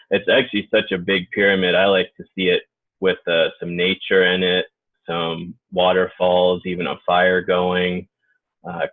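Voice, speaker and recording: A man leading an unscripted guided group meditation in an informal, halting way. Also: sits low. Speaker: guided meditation leader and healer. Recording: muffled phone-like narrow-band audio.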